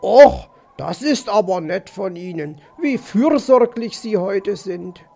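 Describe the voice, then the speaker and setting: Man playing a slow, courteous gentleman fox. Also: high voice, expressive, gravelly, exaggerated voice. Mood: grateful. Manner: polite, slow, friendly. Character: courteous male fox, dazed, gallant and gentle.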